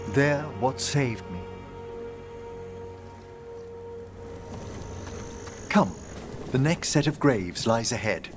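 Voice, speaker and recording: An actor playing a courteous, scholarly, melancholy older necromancer mage in a video game, speaking formally and theatrically. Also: male voice, mid-range voice, animated, measured pace, over music, lightly acted. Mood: somber, reflective.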